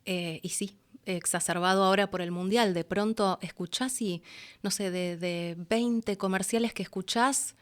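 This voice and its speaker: A woman's voice: mid-range voice, a little slow. A woman with an Argentine accent talking expressively and colloquially as an interview guest. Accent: Argentine Spanish. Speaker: guest, voice actress and announcer. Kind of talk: conversational interview.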